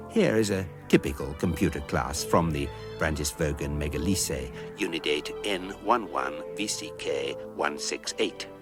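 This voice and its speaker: An actor playing a dry, erudite guidebook narrator in measured comic narration. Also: male voice, low-pitched. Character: wry, learned male guidebook narrator.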